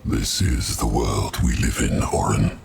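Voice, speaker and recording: A man voicing an arrogant, menacing armored warlord in a fantasy game, booming and contemptuous. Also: little pitch movement, unhurried, vocal fry, darkish tone, heavily acted. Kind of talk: cinematic fantasy game acting. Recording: cavernous echo with music behind.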